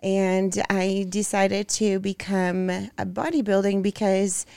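A woman with a Brazilian accent speaks with a gravelly, fry-tinged voice at a slow, deliberate pace. She sounds earnest and open, and livens up on topics she loves. The recording is dry and close. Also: medium pitch, level tone, darkish tone, dry recording. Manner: earnest and unhurried, turning spirited about soccer. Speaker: guest, pro figure competitor. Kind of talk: casual interview chat.

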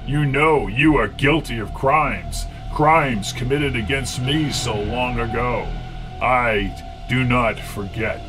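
An actor playing an ancient, gloating male tyrant who speaks slowly and menacingly in a radio drama, with music underneath. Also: medium pitch, lightly acted. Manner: slow, menacing, commanding.

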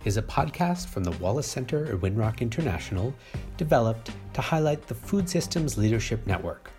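A man reading a scripted podcast introduction in a slow, even way. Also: medium pitch, faint music behind. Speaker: podcast announcer. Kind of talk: scripted announcement.

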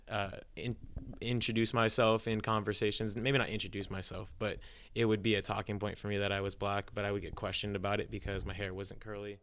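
A man's voice: fairly low pitch, fairly bright tone, clear, resonant tone. A young man with an American accent, giving a reflective personal account as a podcast guest. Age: young adult.

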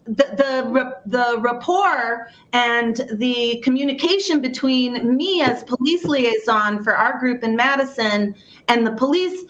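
A woman hosting a radio discussion, brisk and steering the conversation. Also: fairly high pitch, fairly bright tone, highs cut off. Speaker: radio show host.

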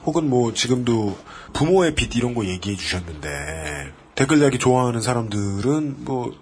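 A male host at a mid pitch, his intonation rising and falling widely as he reasons through a point. A faint hiss sits under the voice. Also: sing-song, normal speed, darkish tone. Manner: reflective, argues points of principle in long sentences. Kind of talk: casual podcast chat.

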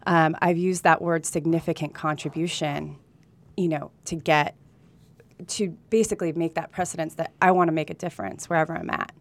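A woman's voice: low voice, steady tone, a little fast. A woman from California talking earnestly and candidly as a guest in a conversational interview. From United States (California), also lived in Colombia. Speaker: interview guest, real estate agent. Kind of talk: conversational interview, personal anecdotes.

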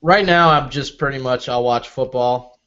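Brief: thirtysomething male guest, easygoing measured talk, mid pitch, muffled recording. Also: pushed and bright, clean tone. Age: 30s. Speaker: interview guest. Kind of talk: casual interview talk.

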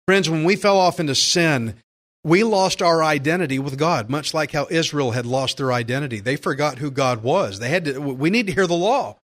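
A man preaching a sermon, earnest and emphatic, slowing down to stress key phrases. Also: on the high side, fairly bright tone. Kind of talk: sermon with scripture readings.

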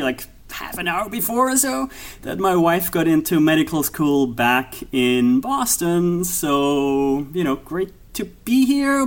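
A man in his forties with an Austrian accent, a guest economist answering interview questions hesitantly with many fillers. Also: on the high side. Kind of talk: interview conversation.

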